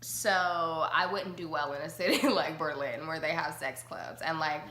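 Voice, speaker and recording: Give me a bright young woman host chatting casually, roomy echo. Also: sits low, steady tone, normal speed. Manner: chatty, laughing, says like constantly. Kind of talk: casual chat on a live-streamed talk show.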